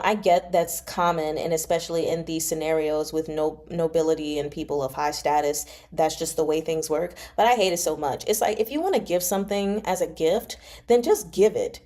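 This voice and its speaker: Mellow-voiced woman reading aloud. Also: fairly low pitch, normal speed. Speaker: host of a live read-along. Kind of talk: expressive novel narration with casual commentary.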